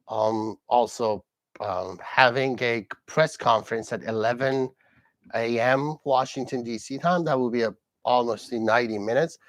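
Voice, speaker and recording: An earnest man from Iran hosts a solo live commentary, his pitch sitting in an ordinary middle range. He restarts sentences and circles back to repeat key phrases. The sound is dry and close, with no room around it. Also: no echo. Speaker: host.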